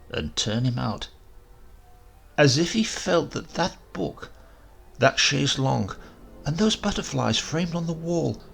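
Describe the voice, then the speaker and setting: A man narrating a literary short story in an expressive audiobook style, with deliberate pauses. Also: medium pitch, gravelly. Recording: faint background noise.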